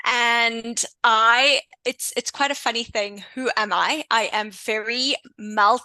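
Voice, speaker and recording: A woman in her late thirties with a South African accent speaks as a podcast guest. Her voice is crisp and bright, and the sound is close and dry with no room around it. She talks in a chatty way and often breaks off and restarts a sentence. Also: on the high side, slow pace, no echo. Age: 30s. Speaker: podcast guest, intuition coach. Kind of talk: casual interview chat.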